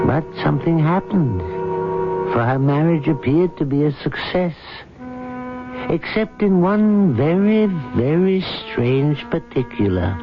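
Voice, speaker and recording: Man playing a slow, humble storytelling fool. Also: medium pitch, close to an everyday voice. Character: gentle fool, rabbi's servant and storyteller, humble and wistful. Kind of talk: radio drama narration. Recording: dull lo-fi old radio broadcast, loud music.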